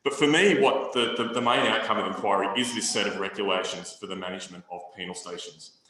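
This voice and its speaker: A man presents a history lecture to a seated audience, his delivery measured and academic, often restarting a phrase. His voice sits a little low but has a bright, forceful edge. The room rings with heavy echo. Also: steady tone. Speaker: historian giving a talk. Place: hall with audience. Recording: very echoey room recording.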